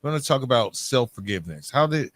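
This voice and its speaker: A man's voice: mid-range voice, lively intonation, fast talker, a bit bright, no room sound. A man hosting a podcast, asking questions and chiming in casually as an interviewer.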